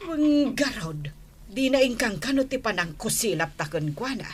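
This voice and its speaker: An actress with an Ilocano Filipino accent playing a feisty, jealous village wife in a radio comedy, emphatic and theatrical. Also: female voice, on the high side, expressive, normal speed, heavy background noise, lightly acted. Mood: teasing, riled. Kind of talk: broadcast radio comedy drama acting.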